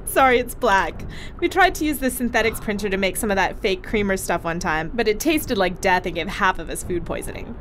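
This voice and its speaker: A woman's voice: medium pitch, lively intonation, a little fast, fairly bright tone, a little hiss or hum, close to an everyday voice. A woman playing a chatty, teasing female crewmate who laughs easily. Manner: bubbly, teasing, laughs often. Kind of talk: audio drama acting.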